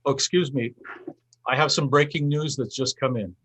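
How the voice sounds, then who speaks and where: A man with a husky, mid-pitched voice speaks as the host of a cultural festival. He reads prepared closing remarks in a formal, measured manner, phrasing them with a literary flourish. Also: steady tone, fairly bright tone, poor audio. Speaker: host giving closing thanks at a festival event.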